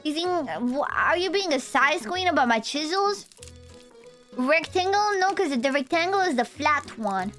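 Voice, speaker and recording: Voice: sits high, lively intonation, unhurried, a bit bright, faint music behind. A young adult VTuber streamer with a Romanian accent, chatting with viewers in a goofy, rambling, playful way. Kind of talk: casual live-stream chat.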